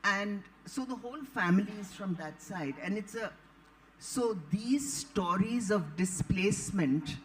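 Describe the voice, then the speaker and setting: A woman's voice: mid-range voice, animated, a little slow, low-quality recording. Older Indian-accented woman recounting anecdotes. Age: late 70s. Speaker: author and panelist. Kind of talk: anecdotal storytelling at a live panel.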